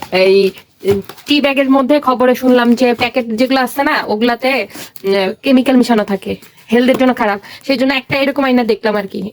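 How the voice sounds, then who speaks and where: A Bangladeshi woman with a somewhat high voice chats casually as a vlogger. She explains things in a chatty way, with faint noise behind her. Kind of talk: casual shopping haul chat.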